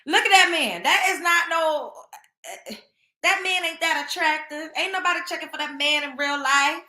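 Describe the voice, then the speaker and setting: A woman's voice: high-pitched, normal speed, bright, forceful tone, roomy sound. A young woman, hosting a show and giving a blunt, scolding commentary monologue that repeats its points. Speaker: show host.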